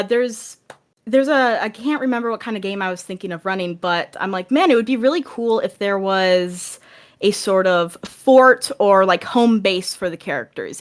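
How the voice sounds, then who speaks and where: A woman talking casually as a podcast guest, animated and often restarting as she works out her thoughts. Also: mid-range voice.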